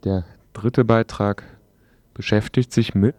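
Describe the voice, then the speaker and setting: A man hosts a radio news show with informal announcements. His voice is fairly low, recorded dry with no audible room. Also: fairly even intonation, slow pace, darkish tone, dry recording.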